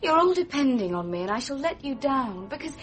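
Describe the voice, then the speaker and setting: High-voiced, anxious young lady. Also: sing-song, brisk. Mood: distressed, doubting. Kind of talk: British radio mystery drama acting.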